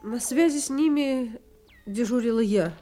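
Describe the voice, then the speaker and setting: An actress playing a wartime woman radio operator who narrates in a measured, solemn, wistful way in a radio drama. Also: on the high side, warm tone, faint music behind, lightly acted.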